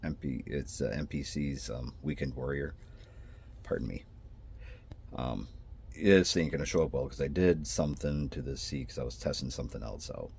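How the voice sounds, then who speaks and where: A man's voice: rumbling low, moderate pace, creaky, a little mellow, a little hiss or hum. A man with a congested, nasal voice and a twang rambles in a hobby vlog about building scale models, breaking off, apologizing and restarting his sentences. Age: adult with a son. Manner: meandering, self-interrupting, apologetic. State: congested from sinus trouble.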